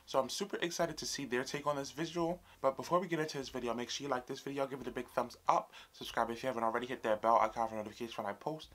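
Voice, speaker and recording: A man's voice: medium pitch, rapid-fire, few pauses, fairly bright tone. A man in his twenties hosting a casual reaction video, chatty and sassy with his viewers. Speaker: YouTube reaction host. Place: small room indoors, fabric backdrop behind.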